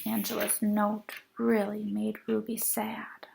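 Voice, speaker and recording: A woman reading a picture book aloud to young children, expressive and dramatic, with little asides. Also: medium pitch, takes it slowly, gravelly, husky. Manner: animated, dramatic emphasis for young listeners.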